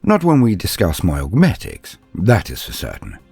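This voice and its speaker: A man narrating a scripted dramatic story in the first person, measured and pausing mid-sentence. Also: low voice, wildly swooping pitch, a little mellow, husky, no echo, faint music behind.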